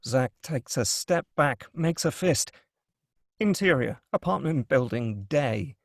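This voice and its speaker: A man's voice: mid-range voice, animated, takes it slowly, vocal fry, no echo. Dry, close-miked male screenplay narrator. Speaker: narrator reading stage directions. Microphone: close, dry microphone.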